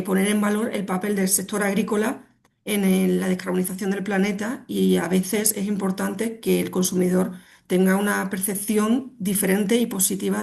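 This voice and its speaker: Woman panelist with heavy vocal fry, monotone, explaining steadily. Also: medium pitch. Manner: steady explanatory, frequent fillers. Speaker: webinar panelist. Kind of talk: online webinar presentation. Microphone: video call.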